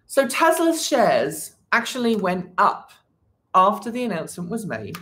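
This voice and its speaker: A woman's voice: medium pitch, very animated, measured pace, fairly bright tone. A woman hosting a casual livestream, talking to viewers with many fillers and restarts. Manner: chats with viewers, reads the chat. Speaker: livestream host.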